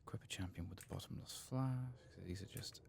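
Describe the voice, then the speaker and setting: A man hosting a gaming video in a soft-spoken ASMR style, sometimes whispering, murmuring his thoughts unhurriedly as he plays. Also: low-pitched, vocal fry, crowd noise. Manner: murmured, unhurried musing to himself.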